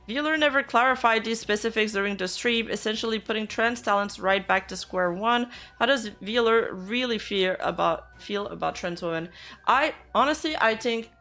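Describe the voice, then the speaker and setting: A woman's voice: fairly high pitch, moderate pace, bright, forceful tone, soft background music. A woman VTuber streamer giving casual reaction commentary, blunt and sarcastic, swearing freely.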